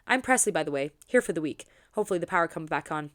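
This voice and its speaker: Woman narrator as young woman, romance heroine, friendly, polite, chatty, quick pace. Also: deep voice, animated, a bit bright. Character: bright, friendly, independent young woman stranded in snow.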